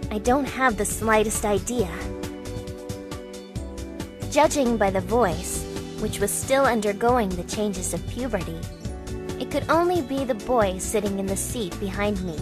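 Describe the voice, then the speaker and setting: A woman's voice: mid-range voice, animated, unhurried, pauses often, close to an everyday voice. A young woman voicing a wry, reflective girl travelling alone, narrating in first person for an amateur fandub. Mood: musing. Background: background music.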